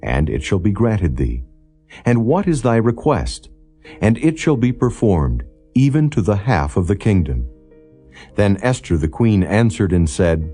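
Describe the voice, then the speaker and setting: A man reading scripture as a solemn, formal audiobook narrator, in a measured and non-dramatized style. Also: low voice, dark tone, husky. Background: background music.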